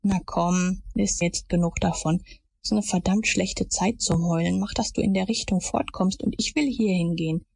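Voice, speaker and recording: Woman acting a gruff, husky, menacing male villain. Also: on the low side, fairly even intonation, moderate pace, a little mellow, dry recording. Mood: cold, manipulative. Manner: gruff, growling, coarse. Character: cold-hearted, vengeful male murderer, gruff and menacing. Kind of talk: audiobook character acting.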